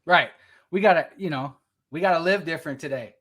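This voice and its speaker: An energetic man hosts a recovery live show. He speaks a little higher than most men and repeats his phrases with a preacher's rhythm. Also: brisk. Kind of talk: upbeat host introduction.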